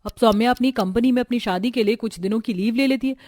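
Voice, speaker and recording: A woman's voice: on the high side, fast talker, mellow, no echo. A woman narrator reading a scripted cartoon family story. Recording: music behind the voice.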